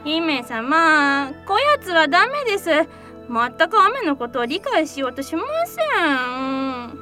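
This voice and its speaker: A curt, loyal servant to a rain-spirit princess, voiced by a woman. She talks high and bluntly, brushing humans off. Loud music plays behind her. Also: very animated, slow pace, heavily acted. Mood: scornful. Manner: blunt, dismissive toward humans. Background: background music.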